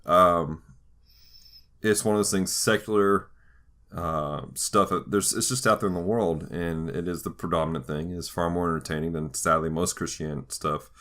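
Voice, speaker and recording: A man hosting a conversational podcast, talking through ideas in a thoughtful, halting way with frequent restarts. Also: low voice, fairly even intonation, a bit bright. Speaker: podcast host. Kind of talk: conversational podcast discussion of faith and pop culture.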